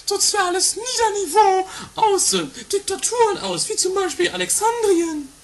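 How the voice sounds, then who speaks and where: A woman's voice: very high voice, normal speed, a little hiss or hum. A woman voicing a cheeky, self-important little creature with a teasing, haughty way of chatting in an improvised comic audio play. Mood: smug, boastful. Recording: loud music under the voice.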